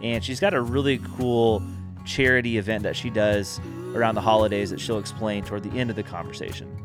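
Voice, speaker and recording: A man's voice: fairly low pitch, steady tone, brisk, over music. A man hosting a podcast, friendly and upbeat, interviewing a colleague over a video call. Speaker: podcast host.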